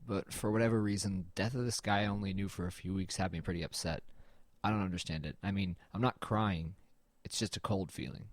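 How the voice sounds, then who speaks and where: A man's voice: low voice, fairly even intonation, brisk, few pauses, no echo. A man playing a brooding, melancholy artist who narrates an audio drama in a reflective, understated, slightly hesitant way. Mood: brooding, uneasy.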